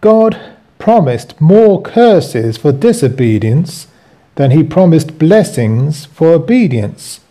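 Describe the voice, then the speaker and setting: A man hosting and reading from his own book with commentary, in deliberate, halting phrases. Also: fairly high pitch, very animated, dark tone.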